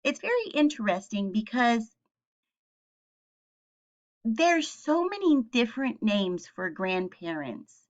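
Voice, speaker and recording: A woman teacher reads aloud to young children in a fairly high voice with very animated, swooping intonation. She explains things simply and puts questions to her listeners. The recording is a little dull and lo-fi. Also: slow pace, long pauses. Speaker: teacher reading a picture book aloud.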